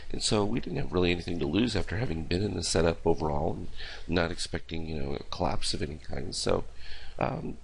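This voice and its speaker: Hoarse, gravelly deep-voiced man. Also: a little fast, slight background noise. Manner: rambling, filler-heavy explaining. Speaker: market analyst host. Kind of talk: casual recorded market report.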